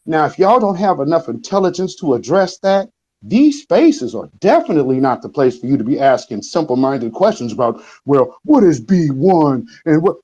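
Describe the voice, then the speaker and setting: A man's voice: on the high side, mellow. A man ranting heatedly at listeners in an online audio room, scolding and confrontational. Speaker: caller in an audio chat room. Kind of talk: heated rant. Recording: muffled lo-fi audio.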